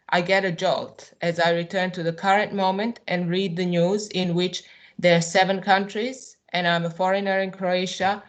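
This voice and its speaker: A woman from Serbia with a Serbian accent, reading a prepared personal talk at a symposium in a measured, reflective way with occasional hesitations. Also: on the low side. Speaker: artist presenting at a symposium.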